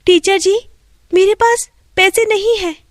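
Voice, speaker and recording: A woman's voice: extremely high pitch, fairly even intonation, measured pace, no room sound, studio quality, pitched above the performer's own voice. A woman voicing a poor young schoolgirl in a storybook tale, with a childlike, plaintive way of speaking. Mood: timid. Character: poor, timid but plucky young schoolgirl.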